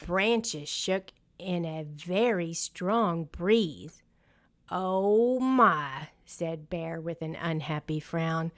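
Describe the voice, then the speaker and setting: A woman reads a children's picture book aloud. Her voice sits a little low and she goes very slowly, deliberate and expressive as a storyteller. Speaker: storyteller and channel host.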